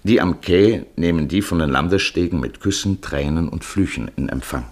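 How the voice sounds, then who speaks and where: A man narrating a literary travel feature in 1950s radio style, telling it vividly and in a measured way. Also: low-pitched.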